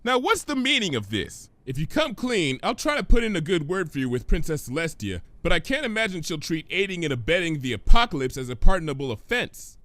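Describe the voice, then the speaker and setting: Man playing an exasperated girl pony, raised pitch. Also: very animated, pushed and bright, no echo. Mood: indignant, stern. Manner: earnest, questioning, brisk. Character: sensible, bossy, exasperated young female cartoon pony.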